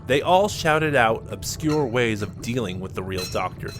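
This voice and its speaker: A man narrating a fan-made audio drama in a steady storytelling manner. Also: medium pitch, normal speed. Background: music.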